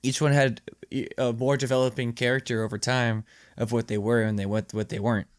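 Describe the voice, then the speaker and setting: A man with a medium-pitched voice talks as a podcast co-host in a casual, informal style. He rambles as he explains, often doubling back on phrases for emphasis. The sound is dry, with little room in it. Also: level tone, fairly quick, clean tone, no room sound. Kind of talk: casual podcast chat about movies.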